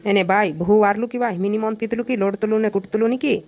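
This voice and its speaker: Fast-talking stubborn young son, voiced by a woman. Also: medium pitch, steady tone, darkish tone, highs cut off, lightly acted. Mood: defiant. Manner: argumentative, complaining. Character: stubborn, argumentative young village son refusing marriage. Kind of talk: cartoon comedy dialogue.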